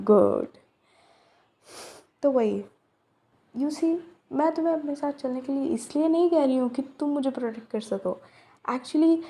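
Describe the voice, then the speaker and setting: A woman's voice: a little high, warm tone. A young woman acting out a romance roleplay to a silent listener, teasing and chatty.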